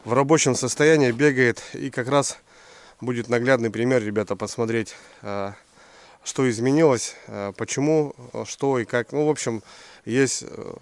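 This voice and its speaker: Man vlogging outdoors, getting over a cold. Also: medium pitch. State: recovering from a cold. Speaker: fishing vlogger presenting a gear review. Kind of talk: casual outdoor vlog. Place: outdoors in deep snow by a garage.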